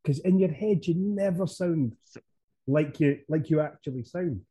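A man's voice: on the high side, a little slow, dark tone, husky, dry recording. A Scottish man co-hosting a podcast, chatting casually and rambling between topics. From Scotland. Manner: rambling, chatty, laughs easily. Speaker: podcast co-host. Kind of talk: casual chat.

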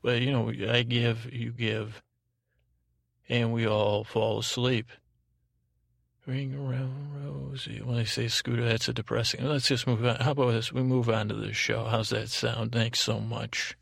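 A man hosting a bedtime podcast in a meandering, halting monologue, repeating himself and trailing off, gently self-deprecating. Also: medium pitch, flat delivery, creaky, dry recording, clean studio sound. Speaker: podcast host. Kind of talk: rambling sleep-podcast monologue with listener thanks.

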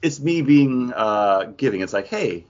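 A man's voice: medium pitch, expressive, measured pace, muffled recording. A man co-hosting a podcast, chatting casually about comic books. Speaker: podcast co-host. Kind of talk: casual comic book chat.

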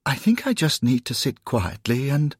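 A man voicing a humble, emotional old-fashioned gentleman in a storybook, with a faltering, genteel manner. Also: medium pitch, sing-song, moderate pace, husky, dry recording, studio quality, voice pushed up. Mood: overwhelmed.

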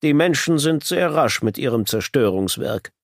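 A man's voice: medium pitch, lively intonation, normal speed, vocal fry, dry recording, clean studio sound, pitched above the performer's own voice. A man voicing a curt, watchful and sombre owl in a storybook. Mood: curt, grim.

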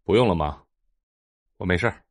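Terse, weary, deep-voiced character. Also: male voice, lively intonation, moderate pace, no room sound, studio quality. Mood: tired, flat. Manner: understated, brief replies. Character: low, terse, weary man in a family drama.

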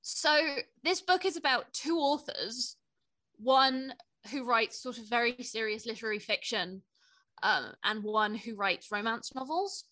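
A young woman co-hosts a relaxed book podcast. Her voice is very bright and sits a little high. She talks with enthusiasm, feeling her way through phrases as she goes. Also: measured pace. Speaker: podcast co-host. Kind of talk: casual book chat.

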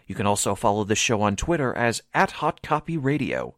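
A man reading scripted announcements for a radio theatre show in a formal, measured manner. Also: lowish voice, sing-song, dry recording, clean studio sound.

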